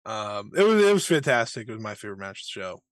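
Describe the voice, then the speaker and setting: A man's voice: fairly low pitch, big pitch swings, fast talker, dry recording. A man co-hosting a wrestling podcast, talking casually and joking around with his partner. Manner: joking, throws in a mock British catchphrase. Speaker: podcast co-host.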